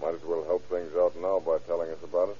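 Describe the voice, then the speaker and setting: A man's voice: low-pitched, flat delivery, quick pace, slightly rough. A man playing a stern, sardonic American sheriff's officer questioning suspects in an old radio crime drama. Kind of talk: 1930s radio acting. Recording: muffled old radio recording.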